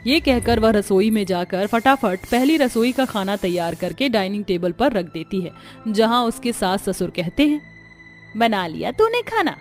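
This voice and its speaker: A woman's voice: mid-range voice, moderate pace, faint music behind. A woman storyteller giving scripted narration of a family tale.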